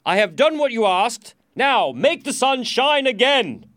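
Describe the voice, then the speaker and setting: A man voicing a frightened, impatient storybook king who shouts urgent orders. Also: very high-pitched, big pitch swings, measured pace, pitched above the performer's own voice, heavily acted.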